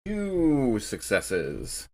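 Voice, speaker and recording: A man's voice: mid-range voice, wildly swooping pitch, very slow, very noisy. A man chatting casually as a player in a tabletop roleplaying game. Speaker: player on an actual play podcast. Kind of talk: casual tabletop gaming chat.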